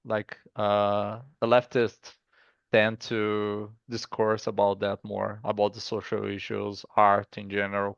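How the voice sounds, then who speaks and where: A man with a Brazilian accent, a guest in a casual interview, who talks haltingly and often restarts with "like". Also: fairly low pitch, gravelly, no echo, highs cut off. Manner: halting, stops and restarts, says 'like' often. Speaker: guest artist.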